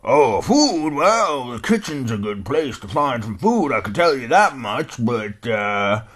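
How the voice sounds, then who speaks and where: A man voicing a kindly, slow old blind male hound dog in a bedtime story, drawling and hesitant. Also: medium pitch, very animated, a bit bright, slightly rough, pitched above the performer's own voice, exaggerated voice. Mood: gruff, wary.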